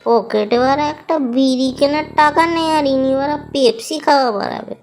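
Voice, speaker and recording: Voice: high-pitched, fairly quick. An actor doing lazy cartoon loafer, whiny, exasperated, coarse comic bluster, music behind. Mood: irritated, mocking. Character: foul-mouthed lazy man griping about summer heat. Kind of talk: Bengali comedy cartoon voice acting.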